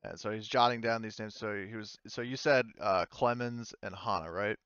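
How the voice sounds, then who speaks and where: A man narrating a tabletop roleplaying game as game master in a casual, halting, self-correcting way. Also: fairly low pitch, fairly bright tone, clear, resonant tone, dry recording, muffled recording.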